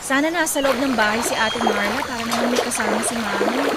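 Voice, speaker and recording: A woman's voice: fairly high pitch, a little fast, very noisy, close to an everyday voice. A woman playing a caring, worried young adult daughter in a radio drama, speaking with respectful concern. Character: caring young adult daughter, band musician, worried about her mother. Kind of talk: Tagalog radio drama acting. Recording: loud music bed under the voice.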